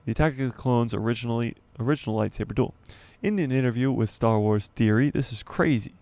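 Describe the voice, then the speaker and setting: A man hosting a casual livestream, reading an article aloud and commenting as he goes, stumbling and correcting himself, his voice going hoarse. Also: mid-range voice, fast, a little mellow, dry recording. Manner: rambling, stumbles and self-corrects, laughs at himself. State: losing his voice, clearing throat. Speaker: YouTube livestream host.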